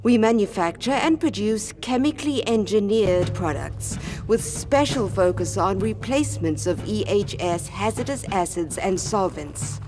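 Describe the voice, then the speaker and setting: A polished, presentational tour guide in a radio drama. She speaks formally at a middle pitch over faint music. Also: animated, a little slow. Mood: brisk, welcoming. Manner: scripted, presentational.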